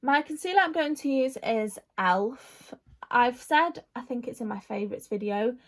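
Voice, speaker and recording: A woman's voice: a little high, animated, moderate pace. A woman, chatting casually through a makeup Q&A, rambling with lots of fillers. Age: 20s. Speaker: vlogger.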